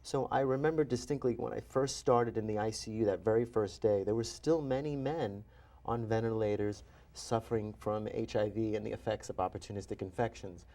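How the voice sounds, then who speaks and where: A man in his forties from New York, a physician and author, talking in an animated, self-deprecating way as an interview guest. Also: fairly low pitch, brisk. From New York, USA. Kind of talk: interview conversation.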